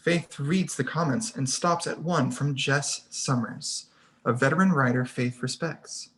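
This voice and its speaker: A narrator with a medium-pitched voice reads a screenplay aloud. The delivery is scripted narration, setting out scene headings and action lines. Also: unhurried, a bit bright. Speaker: stage directions reader. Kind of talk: scripted narration at a table read.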